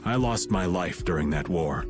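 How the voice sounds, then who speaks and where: Stoic middle-aged knight captain, formal and resigned, low voice, game music behind. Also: male voice, lively intonation, moderate pace. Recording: game audio with loud music.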